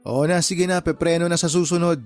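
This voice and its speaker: A man's voice: a little high, steady tone, fairly quick, darkish tone, no echo, soft background music, natural voice. A man acting as a frank, kindly Filipino uncle in a radio drama. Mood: frank, annoyed. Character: helpful, plain-spoken adult uncle, Ralph.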